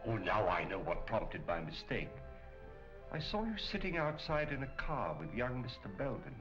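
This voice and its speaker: An actor playing a calm, polite detective who speaks precisely and drily in 1940s film style. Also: male voice, medium pitch, normal speed, close to an everyday voice. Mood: wry, courteous. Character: calm, courteous, wryly probing Chinese male detective. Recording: dull old film soundtrack with music.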